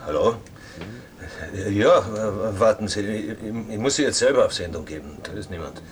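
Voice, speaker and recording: Halting, weary radio host played by an actor. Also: male voice, on the low side, noisy background, close to an everyday voice. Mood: bewildered, flustered. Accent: Austrian. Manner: murmured interjections, halting, distracted. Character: weary, patronizing late-night radio dream-show host. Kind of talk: radio drama dialogue.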